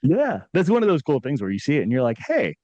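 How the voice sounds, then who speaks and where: A man's voice: fairly high pitch, wildly swooping pitch, fast, mellow, dry recording, dull audio. A man hosting a podcast interview, chatty and upbeat, often rephrasing his questions. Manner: upbeat, chatty, laughs easily, trails into rephrasing. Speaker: podcast host. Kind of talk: casual interview chat.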